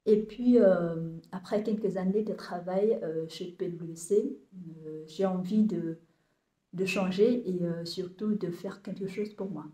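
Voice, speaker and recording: A woman in her thirties with a Vietnamese accent talks slowly and haltingly, stopping often to restart her sentences. Her voice is mellow and soft-edged, and the room around her sounds echoey. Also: on the low side. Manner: hesitant, many fillers and restarts. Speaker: compost business owner. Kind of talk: casual talk to camera, halting.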